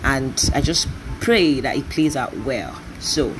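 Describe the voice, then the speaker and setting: A woman's voice: extremely low pitch, expressive, slow, background noise. A Nigerian woman in her early twenties hosting a podcast, chatting casually and rambling, often breaking into laughs. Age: 20s. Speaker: podcast host. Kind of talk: casual solo podcast chat.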